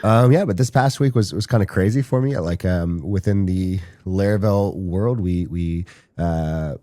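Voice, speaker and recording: A man co-hosting a casual podcast chat while sick, speaking haltingly with frequent pauses and "um"s. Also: low voice, dark tone, no room sound. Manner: hesitant, halting, many "um"s. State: sick, under the weather. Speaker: co-host.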